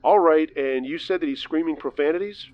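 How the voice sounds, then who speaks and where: Male narrator as a businesslike female dispatcher, flat, calm questions. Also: fairly high pitch, fairly quick, lo-fi sound, pitched above the performer's own voice, close to an everyday voice.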